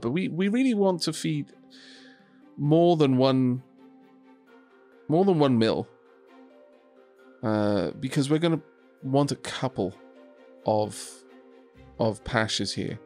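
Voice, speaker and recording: A man's voice: medium pitch, expressive, measured pace, long pauses, darkish tone, faint music behind. Chatty Welsh man narrating his gameplay. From Wales. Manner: chatty, thinking aloud while building, small restarts. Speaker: streamer. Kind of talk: casual gameplay commentary. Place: home streaming setup in Scotland.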